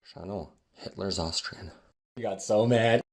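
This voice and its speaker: A man's voice: deep voice, unhurried. A young man from Switzerland telling a story in a wry, mocking way. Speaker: student.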